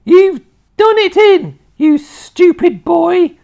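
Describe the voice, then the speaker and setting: A storyteller voicing a bossy, gloating old grandmother from a children's storybook, yelling shrilly with drawn-out words. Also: extremely high pitch, lively intonation, creaky, dull audio, pitched above the performer's own voice, heavily acted. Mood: scolding. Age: older.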